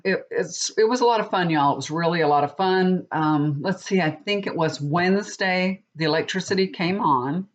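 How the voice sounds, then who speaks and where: A woman's voice: fairly low pitch, moderate pace, hardly any pauses. A middle-aged woman, stuffy and sniffling from a cold, chatting casually and rambling to her viewers. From Texas. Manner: chatty, rambling, with many restarts. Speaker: tarot reader. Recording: dull lo-fi recording.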